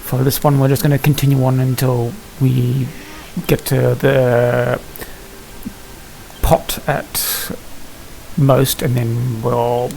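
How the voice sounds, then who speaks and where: A man with a mid-pitched voice full of vocal fry commentates casually on a game he is playing. He thinks aloud in halting, muttered phrases. A faint hiss runs under the home recording. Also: little pitch movement, warm tone, poor audio. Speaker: gaming video host. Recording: hissy home recording, background noise.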